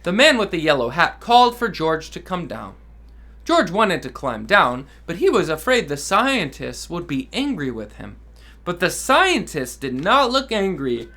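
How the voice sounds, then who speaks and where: A man, a dad, reading a children's picture book aloud with enthusiastic, expressive delivery and asides to kids. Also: a little high, unhurried. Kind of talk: children's read-aloud storytelling.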